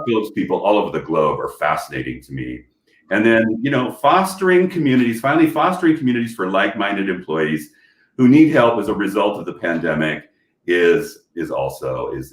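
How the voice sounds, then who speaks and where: A man chatting on a panel from home over a video call, rambling with lots of fillers and restarts. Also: deep voice. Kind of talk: casual panel chat. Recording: echoey room.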